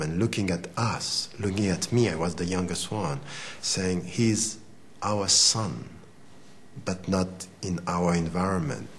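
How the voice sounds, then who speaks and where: A man's voice: deep voice, slight background noise. A man in his forties from Switzerland whose first language is Arabic, answering an interview in a thoughtful, hesitant way, often repeating words. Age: 40s. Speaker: interview guest.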